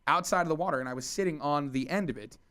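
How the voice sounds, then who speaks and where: A man's voice: on the high side, racing, a crowd in the background. A man co-hosting a casual radio talk show, telling stories through laughter and arguing his point with the others. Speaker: radio co-host. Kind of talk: casual banter.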